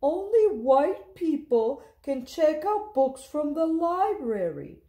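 A woman voicing a gentle but rule-bound library lady from a storybook, careful and insistent. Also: sits high, big pitch swings, slow, echoey room, pitched above the performer's own voice, heavily acted.

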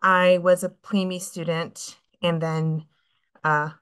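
A woman's voice: lowish voice, level tone, takes it slowly, fairly bright tone, clear, resonant tone. A woman in her thirties from California, an obstetrician, introducing herself candidly over a video call from a clinic room. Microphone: computer webcam on video call.

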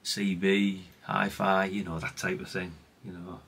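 Low, bright-voiced British man, rambling. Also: flat delivery, measured pace, creaky, husky. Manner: rambling, self-deprecating, mildly sweary. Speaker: hobbyist radio repair presenter. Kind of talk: casual unscripted hands-on demonstration.

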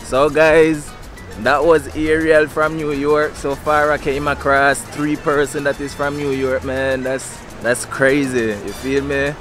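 Young male street interviewer, upbeat slangy host, fairly high voice, music running underneath. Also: little pitch movement, a little slow, a bit bright. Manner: upbeat, slangy, laughs often. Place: sunny beach outdoors.

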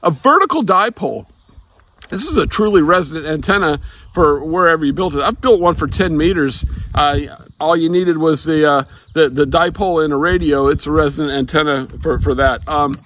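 Casting: older male hobby vlogger, slightly high voice, casual explainer, halting and rambling, recorded outdoors. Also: a bit bright. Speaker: ham radio vlogger. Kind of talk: casual explanatory talk to camera. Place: outdoors in a grassy backyard.

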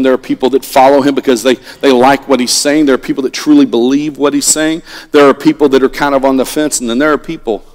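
A middle-aged man preaching a sermon from a pulpit, swinging between loud animated lines and hushed ones. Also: medium pitch. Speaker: pastor. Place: church sanctuary at a pulpit. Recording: long reverb of a church hall.

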